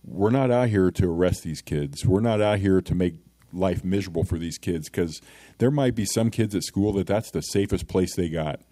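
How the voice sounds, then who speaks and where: Man, middle-aged, deep voice, earnest, plainspoken, dark tone. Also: normal speed, no room sound. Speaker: school resource officer. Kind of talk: casual podcast interview.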